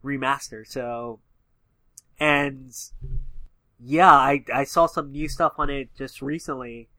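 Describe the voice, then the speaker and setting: A man's voice: mid-range voice, fairly even intonation, takes it slowly, sharp tone, no room sound, low-quality recording. Man with a nasal stuffy-nose voice. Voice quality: slightly nasal. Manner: rambling, filler-heavy, enthusiastic. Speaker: podcast co-host. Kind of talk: casual gaming chat.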